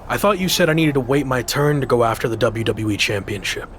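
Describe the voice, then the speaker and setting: An actor playing a cocky, ambitious young wrestler in a video game, speaking in an assertive, argumentative way. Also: male voice, mid-range voice, animated, brisk, a bit bright, a little hiss or hum, lightly acted. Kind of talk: scripted video game cutscene acting.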